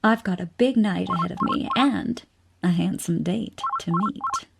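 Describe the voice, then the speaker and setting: A woman's voice: fairly low pitch, unhurried, creaky. An actress playing a teasing, self-assured woman scientist in an audio drama, breezy and sardonic. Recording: music under the voice.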